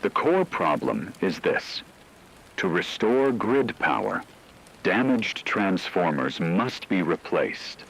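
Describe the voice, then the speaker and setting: A man reading a scripted emergency broadcast in a grave, measured way, breaking it into short phrases. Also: low-pitched, very animated, a bit bright, husky, poor audio. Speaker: radio broadcast narrator. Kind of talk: scripted narration, emergency news-broadcast style.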